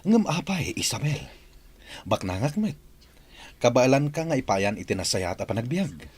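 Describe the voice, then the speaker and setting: Brooding Ilocano suitor with wildly swinging voice. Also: male voice, medium pitch, very animated, normal speed, a little mellow, breathy edge, no room sound. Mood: pleading, declaring love. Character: hot-tempered, jealous rich suitor and boss, adult man. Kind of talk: Ilocano radio drama acting.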